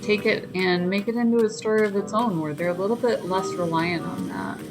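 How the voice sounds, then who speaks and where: A woman's voice: mid-range voice, brisk. A woman hosting a gaming channel, giving casual, playful and exclamatory commentary as she plays, with game music behind her.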